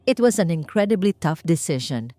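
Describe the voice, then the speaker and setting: A woman's voice: lowish voice, expressive, moderate pace, dark tone, natural voice. A woman voicing a formal company announcer giving a ceremonious speech in a romance story. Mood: formal, building suspense. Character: formal company announcer addressing assembled staff. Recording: music under the voice.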